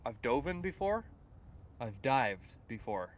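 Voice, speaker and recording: A man's voice: medium pitch, very animated, slow. A young man from Canada chatting casually on a fishing livestream outdoors by a lake, reading comments aloud and rambling with repeated words. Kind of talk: casual live-stream chat reading comments.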